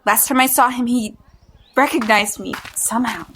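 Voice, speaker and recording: A woman's voice: fairly high pitch, moderate pace, bright, forceful tone, slight background noise. An actress playing a hunted, wary woman in an audio drama, speaking with urgency. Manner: urgent, tense. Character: hunted, wary woman who has died before.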